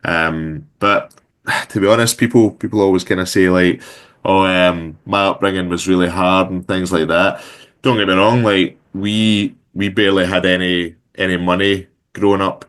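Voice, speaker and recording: A man's voice: low voice, moderate pace, smooth. A man in his thirties with a Glaswegian Scottish accent, chatting casually as an interview guest, loose and rambling with lots of fillers. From Scotland. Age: 30s. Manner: relaxed, rambling with many fillers. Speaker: guest entrepreneur.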